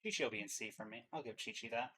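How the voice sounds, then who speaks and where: A man's voice: mid-range voice, very fast, pushed and bright, slight background noise. A man hosting a reaction show, giving casual commentary and rankings. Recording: music behind the voice.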